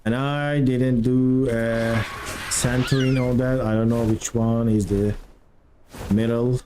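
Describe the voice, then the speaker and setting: A man from Turkey with a thick Turkish accent, chatting with viewers during a live game stream in halting non-native English. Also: medium pitch, fairly even intonation, background noise. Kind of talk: live stream chat while playing.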